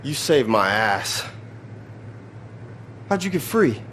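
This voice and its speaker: A man playing a rattled but loyal cab driver in a video game, excitable and colloquial, with plenty of swearing. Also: mid-range voice, slow. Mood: loyal, uneasy. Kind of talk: naturalistic video game acting. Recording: clearly noisy, vehicle and ambient sound.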